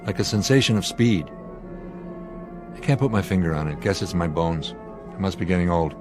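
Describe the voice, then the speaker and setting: Man playing a steady, commanding airline captain. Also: deep voice, normal speed, lightly acted. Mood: puzzled, uneasy. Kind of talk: radio drama acting. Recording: music and engine drone behind.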